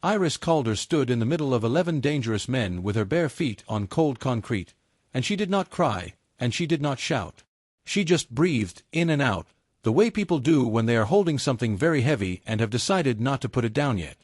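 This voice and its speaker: A man's voice: medium pitch, sing-song, moderate pace, a little mellow, no echo. A man narrates dramatic fiction in the manner of an audiobook. His voice moves expressively up and down, and the sound is very dry, with no room on it.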